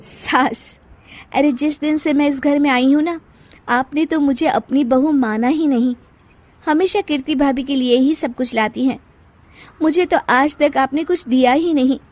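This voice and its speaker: A defiant young daughter-in-law from a cartoon family tale, acted by a woman. Her voice is high and sharp-tongued, indignant and quick to argue back, in a dry recording. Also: no echo. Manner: indignant, argumentative.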